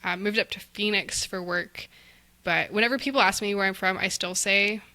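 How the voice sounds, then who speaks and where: A woman in her twenties from Texas, co-hosting a podcast in relaxed, casual chat full of likes and ums. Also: medium pitch, moderate pace. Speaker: podcast co-host.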